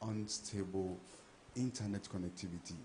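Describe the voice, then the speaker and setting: A man, a journalist, asking a question from the audience and trailing off quietly. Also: low voice, steady tone, normal speed, a little hiss or hum.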